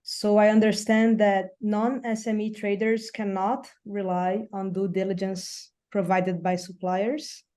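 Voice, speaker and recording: A woman's voice: mid-range voice, level tone, unhurried, hardly any pauses, mellow. A woman taking part in an online session, asking a careful, hesitant question. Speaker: webinar participant asking a question.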